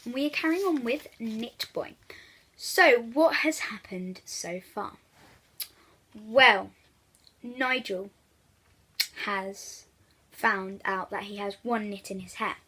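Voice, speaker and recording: A woman reading a storybook aloud for young children, animated and addressing her listeners directly. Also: fairly high pitch, takes it slowly, pauses often, slightly rough, rough recording. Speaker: children's book reader.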